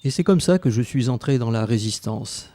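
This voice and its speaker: A man's voice: mid-range voice, animated, normal speed, warm tone, lightly acted. A man playing an elderly former Resistance fighter, narrating his memories tenderly and reflectively in a radio drama. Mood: tender, nostalgic.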